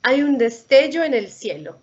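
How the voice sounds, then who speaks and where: A woman with a fairly high voice reads a picture book to young children. Her pitch moves in lively swings, and the sound is dull and lo-fi, as on a video call. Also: a little fast. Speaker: storybook reader. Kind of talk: children's picture book read-aloud in Spanish. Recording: muffled, lo-fi video call audio.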